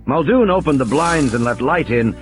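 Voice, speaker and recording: A man's voice: medium pitch, expressive, normal speed, faint music behind. A man reading a scripted, dramatic audiobook narration as a storyteller.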